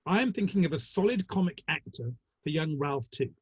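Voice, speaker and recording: A man playing a measured, businesslike Victorian theatre manager with a dry, considered manner, in a dramatic reading. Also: fairly high pitch, animated, slightly rough. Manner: dry, considered, tactfully critical. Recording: dull, roomy online-call audio.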